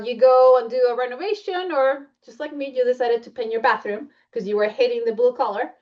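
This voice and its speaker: A woman's voice: on the high side, lo-fi sound. A woman with a Colombian accent presenting membership benefits in a chatty way, with many fillers and restarts. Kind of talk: presentation walking through slides.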